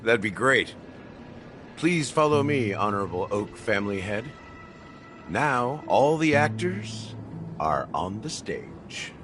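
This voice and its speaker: An actor playing a smug, playful young schemer in a game, teasing and theatrical. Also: male voice, fairly low pitch, sing-song, slow pace, music in the background. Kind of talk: scripted game voice acting.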